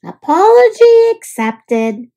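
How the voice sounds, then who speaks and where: Woman doing a high, plaintive storybook woodpecker. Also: very animated, takes it slowly, gravelly, warm tone, lo-fi sound, exaggerated voice. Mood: apologetic, friendly. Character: fussy, plaintive male woodpecker, small storybook bird.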